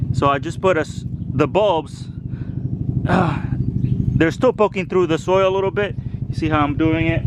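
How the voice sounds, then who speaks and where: A man from El Salvador, in his thirties, talks through a casual garden vlog outdoors. His voice sits a little high. He shifts between explaining his planting and gently coaxing a toddler. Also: background noise. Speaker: home gardener vlogger.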